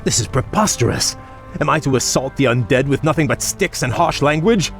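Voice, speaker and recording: A man's voice: mid-range voice, lively intonation, vocal fry, soft background music. A man playing a proud, formal elven prince in a dramatic fantasy video game. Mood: indignant, irritated. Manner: noble, measured, formal.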